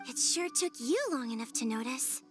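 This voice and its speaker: Woman voicing a humble, high-voiced little yokai. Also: animated, normal speed, music in the background, close to an everyday voice. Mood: firm. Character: small humble yokai creature, earnest, loyal, modest. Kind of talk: video game voice acting.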